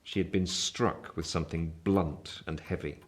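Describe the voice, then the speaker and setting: A man's voice: low-pitched, fairly even intonation, normal speed, lightly acted. An actor playing a reflective grown man narrating his youth, with an English accent, in a dramatic literary style. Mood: grave, tense.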